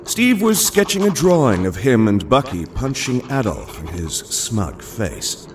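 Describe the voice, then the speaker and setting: A man's voice: on the low side, sing-song, unhurried, far from the mic, slight background noise. A man reading scripted dramatic narration for an audio drama.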